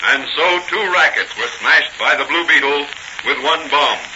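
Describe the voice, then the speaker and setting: A male announcer delivers scripted, declamatory narration in 1940s radio style. His voice runs fairly high, on a dull old recording with music clearly behind him. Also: normal speed.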